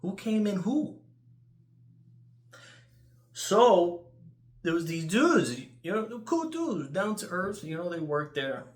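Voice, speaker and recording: A man with a New York accent telling casual, rambling stories in an animated, crude way, swearing freely. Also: high voice, takes it slowly, pauses often, roomy sound. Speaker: YouTuber.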